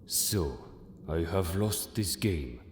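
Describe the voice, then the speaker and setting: A man's voice: low-pitched, lively intonation, slow pace, a little hiss or hum. A man playing a solemn, mysterious Egyptian mystic in an audio drama, speaking in a grave, portentous manner. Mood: humbled, grave. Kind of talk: audio drama acting of a manga. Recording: echoey, long cavernous reverb.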